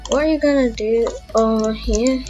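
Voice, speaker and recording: Slow-paced, high-voiced young-sounding woman tutorial host. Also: mellow. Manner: informal, thinking aloud while demonstrating. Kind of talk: casual voiced software tutorial. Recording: dull lo-fi mic, background music.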